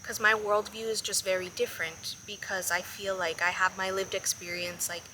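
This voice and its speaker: A woman's voice: mid-range voice, flat delivery, moderate pace, pushed and bright, a little hiss or hum, poor audio. A Colombian-born woman living in Miami, hosting a podcast and speaking reflectively, with hesitant restarts. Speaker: podcast host. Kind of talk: casual interview conversation.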